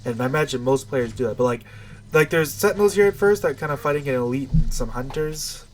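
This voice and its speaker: A man's voice: medium pitch, animated, quick pace, a little hiss or hum. Nasal, congested man commentating on gameplay. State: stuffy nose. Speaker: gamer giving video game commentary. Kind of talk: casual unscripted gameplay commentary.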